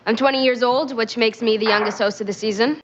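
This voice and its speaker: A young woman in her twenties introducing herself in a short recorded dialogue clip, with a bright, bouncy delivery. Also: fairly high pitch, fairly even intonation, quick pace, background noise. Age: 20s. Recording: lo-fi clip with loud synth music.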